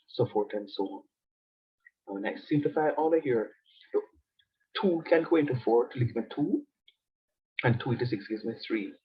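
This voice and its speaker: A man lectures a class with a stuffy, nasal voice from a cold, his tone slightly husky. He repeats key phrases and keeps asking whether the students follow him. The sound is a dull, lo-fi classroom recording. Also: mid-range voice. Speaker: university lecturer.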